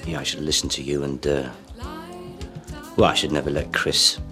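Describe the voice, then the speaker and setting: A gruff police chief with a very deep voice, halting as he apologises. Music plays underneath. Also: little pitch movement. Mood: sheepish. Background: music score.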